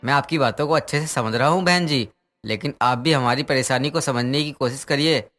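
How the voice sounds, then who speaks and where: A man's voice: mid-range voice, brisk, fairly bright tone, natural voice. A man playing a polite, worried father of a bride in a story cartoon. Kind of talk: Hindi story cartoon dialogue. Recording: faint music under voice.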